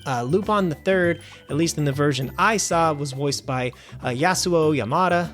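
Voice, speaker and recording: A man hosting a film review show, reading a scripted review with wry, animated asides. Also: a little high, normal speed, soft background music. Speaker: film review podcast host.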